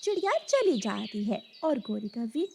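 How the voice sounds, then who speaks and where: A woman's voice: fairly high pitch, sing-song, unhurried, gravelly, a little mellow, slight background noise. A woman narrating a scripted children's story.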